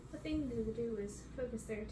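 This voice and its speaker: A woman's voice: a little high, brisk. A young adult woman reading a storybook aloud for ASMR in a bedroom. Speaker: ASMR reader. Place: bedroom, indoors. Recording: roomy, distant, clearly noisy bedroom recording.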